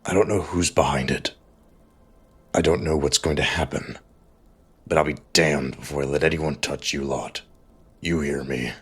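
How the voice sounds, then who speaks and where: A man voicing a gruff, battle-weary, protective mentor in an audiobook, with a growling, blunt delivery and a gravelly rasp. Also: rumbling low, fairly even intonation, normal speed, long pauses, exaggerated voice. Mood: fierce, protective. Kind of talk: audiobook character dialogue.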